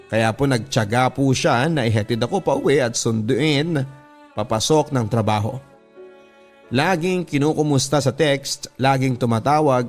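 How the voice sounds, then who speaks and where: A man with a Filipino accent reading a letter as scripted first-person radio drama narration, in an earnest, sentimental, polite storytelling manner. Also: mid-range voice. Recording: music bed under the voice. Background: background music.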